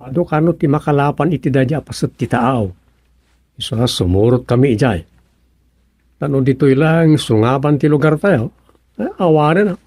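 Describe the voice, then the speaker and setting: An actor playing an eager fisherman's son with a husky voice and an Ilocano accent in a radio drama comedy. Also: mid-range voice, animated, moderate pace, a little mellow, dry recording. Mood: eager, explaining.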